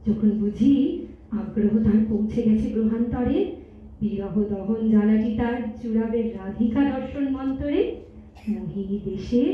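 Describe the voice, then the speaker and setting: A woman's voice: a little high, natural voice. A woman playing a coy, teasing young woman in love, speaking lilting rhymed verse theatrically in a stage audio drama. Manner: theatrical, lilting, poetic recitation. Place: multipurpose hall stage in Kolkata. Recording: echoey hall, distant mic, dull, faint noise.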